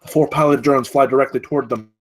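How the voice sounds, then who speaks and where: A man's voice: mid-range voice, fairly even intonation, fast. A man reading stage directions at a screenplay table read, in a dramatic, clipped way.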